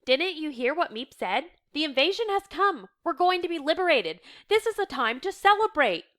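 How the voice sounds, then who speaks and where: A woman's voice: high voice, big pitch swings, normal speed, fairly bright tone, pitched above the performer's own voice. A woman playing an emotional middle-aged wife in a stage drama, fussing and exclaiming insistently. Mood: insistent, joyful.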